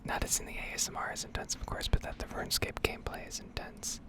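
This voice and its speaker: A woman whispering a rambling, improvised ASMR game commentary in a hesitant, unhurried way, with a breathy, creaky edge. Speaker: gaming ASMR creator.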